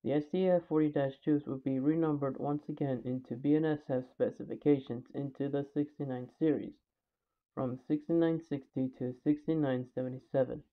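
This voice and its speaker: A man's voice: medium pitch, fairly even intonation, a little fast. A man delivers scripted, factual narration in the manner of a homemade documentary. The sound is heavily band-limited and phone-like, with little fullness. He reads from a script and now and then trips slightly over it. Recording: tinny, phone-like narrow band.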